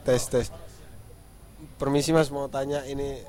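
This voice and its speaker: A man's voice: medium pitch, level tone, measured pace, darkish tone. A man asking interview guests a spontaneous question in a polite, earnest way. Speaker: audience member asking a question. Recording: slight background noise and ambience.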